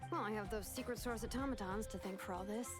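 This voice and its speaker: A woman's voice: mid-range voice, fast, close to an everyday voice. A woman playing a sardonic, overworked young inventor in a video game, wry and thinking aloud. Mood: weary, wry. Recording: faint game music under voice.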